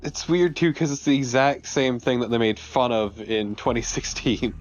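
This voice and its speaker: A man's voice: medium pitch, moderate pace. A man co-commentating on a let's play in a blunt, casual, sweary way. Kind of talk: casual chat.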